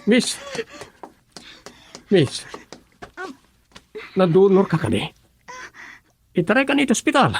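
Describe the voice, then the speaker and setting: Lively, creaky-voiced cocky young man. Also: high voice, slow, long pauses, slight background noise, exaggerated voice. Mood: boastful, excited. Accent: Filipino, Ilocano. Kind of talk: Ilocano radio drama acting.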